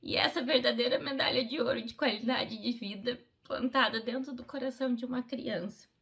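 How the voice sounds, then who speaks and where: A woman's voice: fairly high pitch, steady tone, a little fast, fairly bright tone. A middle-aged woman, telling a personal story in a heartfelt, conversational way, as an athlete in a documentary interview. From Brazil. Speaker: Paralympic fencer, interviewee.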